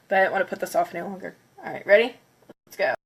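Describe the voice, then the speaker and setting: A woman's voice: mid-range voice, very noisy. Congested woman chatting on a livestream. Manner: chatty, upbeat, counts down before each smash. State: congested from a cold. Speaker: craft livestreamer. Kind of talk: casual livestream chat.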